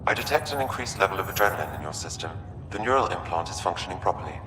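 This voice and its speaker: A man voicing a calm, polite artificial intelligence aboard a spaceship in a game, formal and even. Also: low voice, very fast, echoey room, in a large hall, recorded from a distance, noisy background. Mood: polite, neutral.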